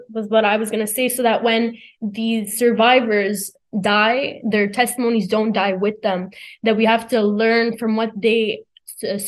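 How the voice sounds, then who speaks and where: A teenage female student gives her view in a thoughtful discussion. Her voice is fairly high, and her way of talking is earnest and informal. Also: poor audio. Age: teens.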